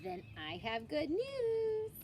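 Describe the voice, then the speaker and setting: Female storybook reader, highly animated, slow-paced, clear birdsong and outdoor noise around her. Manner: expressive, prompting the young reader along. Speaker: adult reading aloud with a child. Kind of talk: picture-book read-aloud to children.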